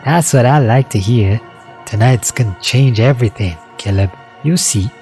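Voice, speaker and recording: A man's voice: medium pitch, moderate pace, darkish tone, faint music behind, lightly acted. A man voicing a tough, determined teenage boxer and protective older friend in a dramatized story, streetwise and urging. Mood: confident.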